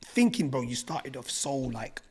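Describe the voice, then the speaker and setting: A man's voice: mid-range voice, sing-song, a little slow, a crowd in the background. A young man with a London accent hosting a podcast, asking questions in friendly street slang and bantering with his guest. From Newham, East London. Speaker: podcast host. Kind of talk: casual podcast interview.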